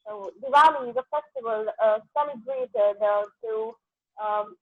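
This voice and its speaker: A woman's voice: fairly high pitch, unhurried, no room sound. A woman in her thirties with an Indian English accent, a native Punjabi speaker, answering exam questions hesitantly with many fillers. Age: 30s. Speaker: exam candidate, dentist. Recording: tinny phone-like line.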